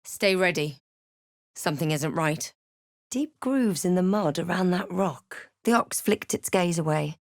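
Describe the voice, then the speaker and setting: Fantasy RPG heroine: thoughtful spellcasting adventurer, guarded and drily snarky, lower-set voice, deliberate phrasing, studio-dry sound. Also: female voice, no room sound. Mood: wary, curious. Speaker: video game player character. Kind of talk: scripted game voice lines, narration and barks.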